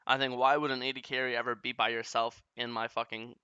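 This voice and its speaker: A man's voice: mid-range voice, flat delivery, bright, forceful tone, clean tone, no room sound, lo-fi sound. A young man coaching a player through a game replay, talking bluntly and often swearing as he explains. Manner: blunt, profane, rapid explaining.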